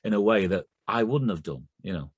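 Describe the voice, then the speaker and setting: Brief: middle-aged Lancashire man, guest poet, anecdotal, lowish voice, dry close sound. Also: animated, fairly quick, breathy edge, dry recording. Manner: chatty, anecdotal. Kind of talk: casual conversation.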